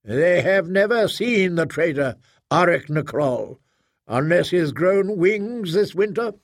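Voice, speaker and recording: Man acting formal commander, voice lifted high. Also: lively intonation, slow pace, no room sound, clean studio sound, full character voice. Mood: assured, explaining. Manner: formal, declamatory. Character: confident male military-religious leader, formal and persuasive.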